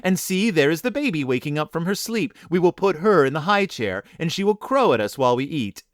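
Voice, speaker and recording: A loving mother from a children's tale, as a male narrator puts her on. She is tender and earnest, gently admonishing, with a lifted, lilting pitch and a very dry sound. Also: expressive, normal speed, dry recording, natural voice.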